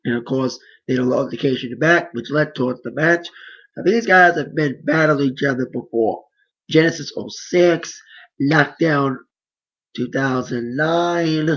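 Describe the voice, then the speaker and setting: A hoarse man giving a casual, rambling play-by-play recap of wrestling matches. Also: medium pitch, normal speed, a bit bright, muffled recording. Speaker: wrestling reviewer.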